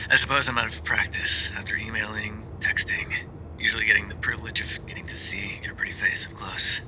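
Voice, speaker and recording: A man playing a tender, sheepish, bookish mafia boyfriend in an ASMR roleplay, speaking softly and hesitantly as if on a phone call, often dropping to a whisper. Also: fairly low pitch, lightly acted. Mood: sheepish, longing. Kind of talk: ASMR roleplay voice acting, intimate phone voicemails.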